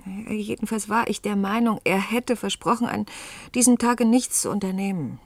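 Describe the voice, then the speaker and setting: An actress in a science-fiction radio play, playing a sharp-tongued, strained woman journalist who is ironic and quick-witted. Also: mid-range voice, lightly acted. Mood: reflective, recounting. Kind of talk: German science-fiction radio drama, dialogue and narrated recollection. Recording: hissy vintage radio play recording.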